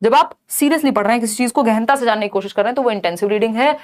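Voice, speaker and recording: Young female exam-prep teacher, high voice, explains in Hindi laced with English terms, reads questions out slowly. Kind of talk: online exam-prep lesson, reading questions aloud.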